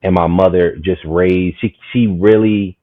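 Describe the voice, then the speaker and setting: Guest: man, 30s, from Maryland, rambling storyteller, low voice, phone-quality audio, dry. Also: measured pace, no echo. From Maryland, USA. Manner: animated, rambling, full of "you know what I'm saying". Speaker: guest, community market founder. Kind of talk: casual storytelling conversation.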